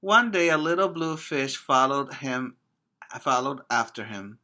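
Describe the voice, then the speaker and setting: Slow, bright-voiced male teacher reading to children. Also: medium pitch, lively intonation. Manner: addresses young children directly, simple deliberate phrasing. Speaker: preschool teacher reading aloud. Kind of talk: slow, expressive children's picture-book read-aloud.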